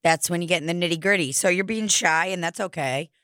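Woman podcast host, lowish voice, rambly jokey chat full of likes, dry close mic. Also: fast, fairly bright tone, no room sound. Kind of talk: casual chat.

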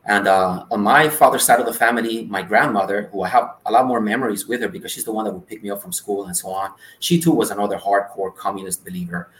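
A man's voice: on the low side, fast talker, hardly any pauses, a bit bright. A man in his forties, born in Cuba, telling earnest stories as an interview guest. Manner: earnest, anecdotal storyteller. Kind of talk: casual interview storytelling. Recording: roomy echo.

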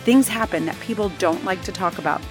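A woman's voice: medium pitch, brisk. A woman giving an upbeat, scripted introduction as a podcast host. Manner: upbeat, promotional. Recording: intro read over loud music.

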